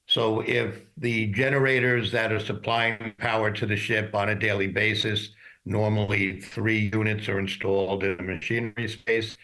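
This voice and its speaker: A man speaking as an industry expert in a news interview, explaining things in a measured, formal way. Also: on the low side, fairly bright tone, low-quality recording.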